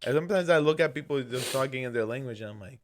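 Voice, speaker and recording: A man's voice: mid-range voice, very fast, a little hiss or hum. A man co-hosting a podcast in casual chat, chiming in with short reactions and jokes. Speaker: podcast co-host.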